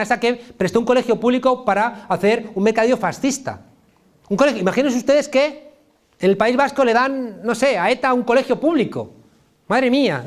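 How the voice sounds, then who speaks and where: A middle-aged man from Spain, a political science professor, giving an impassioned public lecture with a Spain Spanish accent and emphatic, colloquial repetition. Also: very high-pitched.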